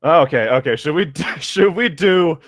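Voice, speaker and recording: A man co-hosts a comic read-aloud in a theatrical way. His pitch swings widely between narration and jokey casual asides, and the sound is dry. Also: expressive, normal speed, no echo. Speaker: co-host reading aloud.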